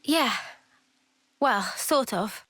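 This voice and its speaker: A woman playing an earnest, sensitive young soldier in a fantasy game drama, speaking softly and hesitantly. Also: on the high side, animated, drawn-out words, soft background music, full character voice. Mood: quietly resolved. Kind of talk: dramatic game cutscene acting.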